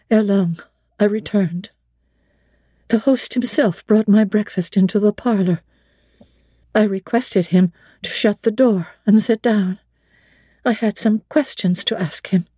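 Brief: woman narrator for a classic novel, unhurried and expressive, warm husky tone, dry studio sound. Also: medium pitch, no room sound. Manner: measured, theatrical, emotive. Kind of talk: dramatic literary reading.

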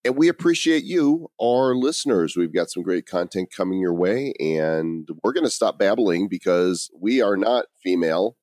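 A man's voice: deep voice, expressive, moderate pace, few pauses, a little mellow, no room sound, clean studio sound. A man co-hosting a podcast, playful and teasing in his banter. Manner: playful, teasing, mock-grand announcer delivery. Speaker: podcast co-host.

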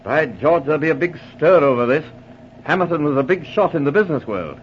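An actor playing a hearty former army officer turned English chief constable in an old radio drama, clubby and full of old-fashioned exclamations. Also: male voice, fairly high pitch, expressive, fairly quick, close to an everyday voice. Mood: brisk. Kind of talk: 1940s radio drama acting. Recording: muffled, noisy old radio recording.

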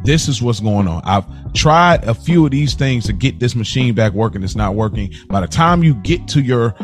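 A man's voice: mid-range voice, expressive, normal speed. Man, mid-range voice, podcast co-host, casual conversation, emphatic explaining, faint background music.

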